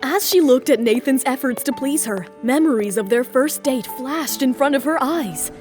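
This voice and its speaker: A woman's voice: high-pitched, moderate pace, darkish tone. A woman giving dramatic scripted narration for an audio romance story. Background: faint music.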